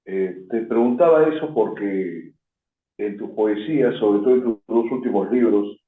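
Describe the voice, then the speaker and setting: A man's voice: on the low side, measured pace. A man hosting a radio interview, asking long, winding questions. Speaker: host. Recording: tinny phone-like line in an echoey room.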